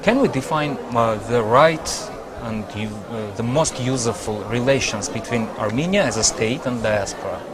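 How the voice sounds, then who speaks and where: A male interviewer poses his questions haltingly, pausing to find the right words. His pitch sits in the middle range. A busy crowd and street noise surround him. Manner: brief affirmations, questions asked haltingly.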